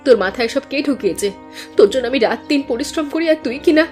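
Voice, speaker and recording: A woman's voice: high-pitched, lively intonation, quick pace, a little mellow, soft background music. A woman playing an earnest, anxious young village sister in a cartoon drama, speaking in an emotional, pleading way. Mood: hurt, indignant.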